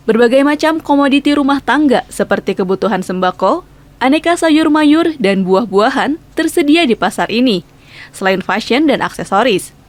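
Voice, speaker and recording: Woman narrating a scripted news report. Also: medium pitch.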